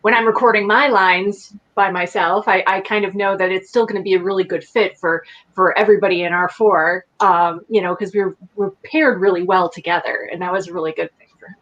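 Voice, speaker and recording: A woman voice actor guesting on a podcast, speaking thoughtfully at an ordinary pitch. Her audio sounds dull and lo-fi. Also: fairly even intonation, brisk, a bit bright. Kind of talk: casual chat.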